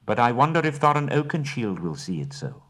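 A man's voice: mid-range voice, very animated, fairly quick, a bit bright, pitched above the performer's own voice. A man voicing a stately, gracious male elf king who speaks in a formal, courtly way.

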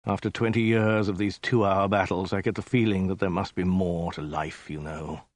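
Comic audiobook lead: battle-worn warlord voiced by a man, lowish, absent-minded, sighing, mildly put-upon. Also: steady tone, normal speed, no room sound. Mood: glum. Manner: sighing, vague, mildly domestic understatement. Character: world-weary, absent-minded, battle-worn male Mongol emperor.

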